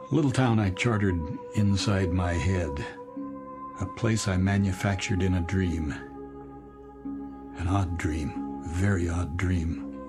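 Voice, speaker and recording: An American actor playing a middle-aged, disillusioned executive in a radio drama, halting and repeating himself as he speaks. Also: low-pitched. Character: weary, disillusioned married male executive, dreamy and self-deprecating. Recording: music bed under the dialogue.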